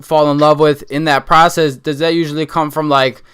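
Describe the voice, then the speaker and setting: Smooth-voiced young man hosting a chat. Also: fairly high pitch, level tone, a little fast, fairly bright tone. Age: 20s. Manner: easygoing, rambling, many fillers. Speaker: podcast host. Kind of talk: casual interview chat.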